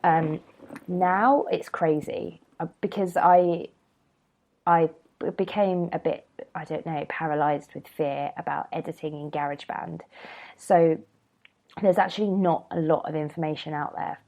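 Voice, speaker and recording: Low gravelly woman with a cold. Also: steady tone, a little slow, a little mellow. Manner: rambling, chatty, many ums and restarts. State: has a cold, slightly nasal. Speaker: podcast host. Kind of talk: casual solo podcast chat.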